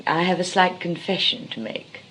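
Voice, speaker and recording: An actress playing a flirtatious, determined society woman in a 1920s comedy of manners, gushing, archly polite and quick to take offence. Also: on the low side, expressive, bright, forceful tone, a little hiss or hum, low-quality recording. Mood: coy admiration. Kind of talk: 1920s English comedy of manners stage acting.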